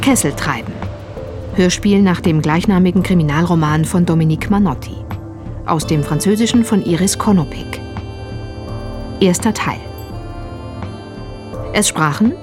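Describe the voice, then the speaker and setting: A woman reading radio drama credits as an announcer in a neutral, formal way. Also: fairly low pitch. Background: music.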